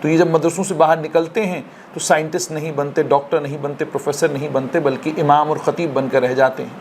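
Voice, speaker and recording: Slightly high-pitched male news interviewer. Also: fairly even intonation, a little fast, darkish tone, slight background noise. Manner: long, wordy, polite questions in Urdu-leaning phrasing. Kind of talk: formal interview questioning.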